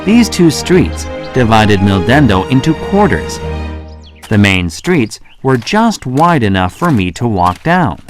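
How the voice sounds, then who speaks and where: An actor playing a curious, polite traveler who narrates his own children's story with expressive, clear phrasing. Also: fairly low pitch. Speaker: first-person narrator.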